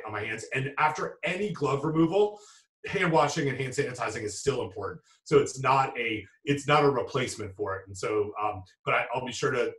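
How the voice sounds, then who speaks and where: A man's voice: on the low side, a bit bright. Hesitant man on a roomy video call. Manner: halting, many restarts and fillers. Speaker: food safety expert answering questions. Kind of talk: live webinar Q&A. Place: home office with bookshelves. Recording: roomy video call audio, distant mic.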